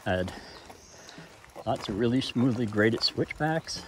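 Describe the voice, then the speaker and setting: A man narrates his hike in a relaxed, matter-of-fact way, dropping dry jokes as he thinks aloud. His voice sits at a mid pitch. It is captured on a body-worn camera out on a misty forest trail, with clear outdoor noise behind him. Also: slow. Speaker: thru-hiker vlogger. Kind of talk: casual vlog narration while hiking. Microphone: body-mounted camera.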